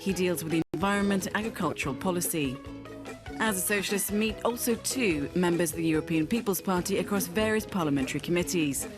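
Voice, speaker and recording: A woman reading scripted narration for a television news feature in a brisk, lightly playful way. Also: on the low side. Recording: voice-over with clear music bed.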